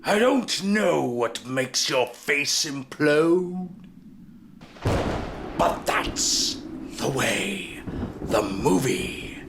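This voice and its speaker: In a noisy, echoing room, a man performs lyrics as a dramatic reading. He drags phrases out very slowly, then swings into sudden emphasis. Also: on the high side, big pitch swings, vocal fry. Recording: noisy, roomy echoing recording.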